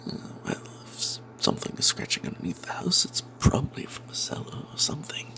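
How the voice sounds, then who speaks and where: A man voicing a roguish, money-minded cleric who tells a fantasy tale in breathy, confiding whispers with snide asides. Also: a little fast, pushed and bright, slight background noise, close to an everyday voice. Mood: sly, wary. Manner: confiding, hesitant, sardonic asides. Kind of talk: first-person fantasy tale told in whispers, ASMR.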